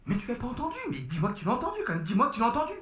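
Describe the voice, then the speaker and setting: A man's voice: very high voice, huge pitch swings, racing, a bit bright, heavily acted. An actor playing a frantic man obsessed with a noise, speaking insistently and repeating himself in an audio drama. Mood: pleading. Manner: agitated, insistent repetition. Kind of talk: French audio fiction acting.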